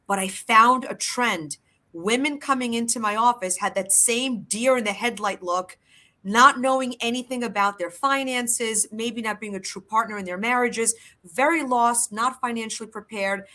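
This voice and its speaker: A Polish-born woman with Polish as her first language, guesting on a talk show. Her voice is bright and forward, and she talks upbeat and fast in bursts. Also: on the high side. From Poland. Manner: upbeat and talkative, quick bursts. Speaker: guest, family lawyer. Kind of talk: interview conversation.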